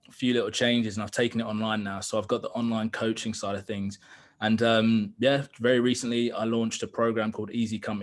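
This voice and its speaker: East london man, reflective chat. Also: lowish voice. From East London, England. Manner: open, reflective, lots of ums. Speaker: podcast guest, digital consultant. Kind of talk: casual interview chat.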